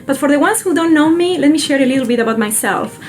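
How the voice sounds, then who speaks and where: A woman's voice: high-pitched, expressive, fast talker, faint music behind. A woman from Argentina whose first language is Spanish, presenting a scripted real estate video about her neighborhood.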